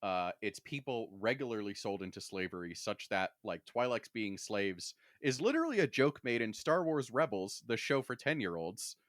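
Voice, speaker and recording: Male podcast co-host, lower register, wry casual discussion, very dry recording. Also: very animated, moderate pace, few pauses, a bit bright, no echo. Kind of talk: casual pop-culture discussion.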